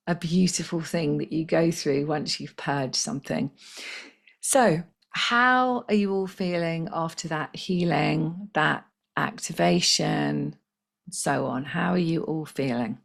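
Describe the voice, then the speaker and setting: A woman with a slightly croaky voice, hosting a live spiritual healing session in a gentle, chatty way and reading out viewers' comments. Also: sits low, a little slow. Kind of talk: casual live-stream talk.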